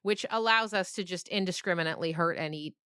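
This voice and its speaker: A woman speaks in a casual interview, explaining her creative work in a thoughtful way. Her voice sits fairly low but is clearly bright and forceful. The sound is close and dry, with little room around it. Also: fast, no echo. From grew up on a farm. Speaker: interviewed writer of a fiction podcast.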